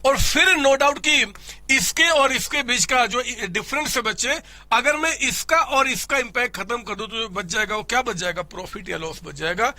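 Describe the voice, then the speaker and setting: A man from India teaching an accounting class in a playful, animated way, hoarse from a cold. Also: very high voice, normal speed, no echo. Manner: animated, playful, addresses students as "my child"; voices students' questions himself. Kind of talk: classroom lecture.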